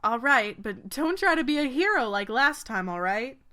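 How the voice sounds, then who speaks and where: A woman playing a friendly young female pegasus knight in a game fan dub, with a gentle, familiar way of talking. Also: a little high, big pitch swings, moderate pace. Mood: teasing. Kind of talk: acted game dialogue.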